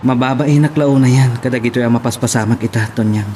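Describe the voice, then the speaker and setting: A man with an Ilocano accent acting a troubled, principled young gentleman in a radio drama. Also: medium pitch, fairly even intonation, fast, a little hiss or hum, lightly acted.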